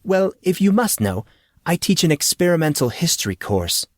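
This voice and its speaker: A man voicing a brisk, formal, wry male schoolteacher with pompous, precise wording in an audiobook. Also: fairly high pitch, big pitch swings, no room sound, studio quality, voice pushed up. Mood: coolly unconcerned.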